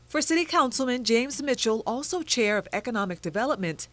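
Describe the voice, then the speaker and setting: A woman with a fairly high voice delivers a TV news report. Her style is scripted broadcast reporting from the field. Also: animated, fairly quick, a bit bright. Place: outside an arena in a city.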